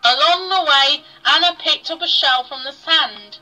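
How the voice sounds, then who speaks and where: High, piercing young female story reader. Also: pushed and bright, faint music behind, rough recording. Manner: careful, deliberate reading. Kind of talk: children's story read aloud. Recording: played back from toy laptop speaker.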